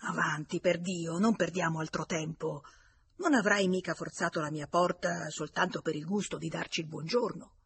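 A woman's voice: on the low side, fairly even intonation, moderate pace, husky. A woman voicing an irritable, brusque man scolding a friend in an audiobook. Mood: furious, impatient. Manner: brusque, exasperated, emphatic.

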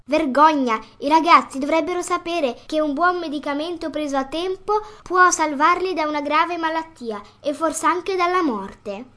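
A woman playing a patient, motherly storybook fairy who gently admonishes in formal phrases. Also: sits high, normal speed, few pauses. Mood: gently scolding. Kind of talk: acted storybook dialogue.

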